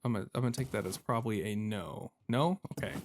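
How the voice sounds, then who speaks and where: A man's voice: lowish voice, quick pace, a little hiss or hum. A man giving casual let's-play commentary, thinking aloud in a rambling way with self-deprecating jokes. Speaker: video game streamer. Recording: music behind the voice. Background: game music.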